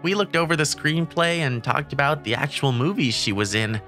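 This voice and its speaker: A woman's voice: deep voice, animated, moderate pace, fairly bright tone, faint music behind. A woman voicing a shy teenage boy who narrates the story and stammers when flustered, in a manga dub. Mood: narrating. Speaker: narrator and lead character.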